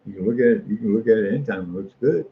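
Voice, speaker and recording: Middle-aged American man, folksy and opinionated casual talker with a gravelly fry, on muffled lo-fi audio. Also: fairly low pitch, very fast. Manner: folksy, opinionated, repeats phrases for emphasis. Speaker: street artist. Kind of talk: casual rambling monologue. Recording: muffled lo-fi audio with faint noise.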